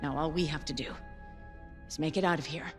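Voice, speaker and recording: Actress as a steady commander with a lowish voice, long reverb, faint music. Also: female voice, close to an everyday voice. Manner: measured, resolute. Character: steady woman commander giving a briefing. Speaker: military commander.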